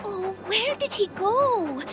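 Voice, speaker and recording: A caring, anxious lady engine from a kids' cartoon. She speaks very high and without hurry, earnest and dramatic, pitch moving freely over a music bed. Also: expressive, slow, full character voice. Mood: fretful. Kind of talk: children's animated series acting. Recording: music behind the voice.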